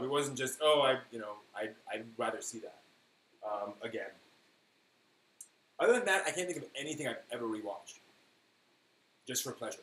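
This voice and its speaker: A man's voice: medium pitch, fairly bright tone. Male streamer, mid-range voice, rambling and conversational with stressed key words; echoey room, faint background noise. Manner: halting, thinking aloud, emphatic on key words. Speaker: live stream host. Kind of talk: casual rambling chat, answering viewers.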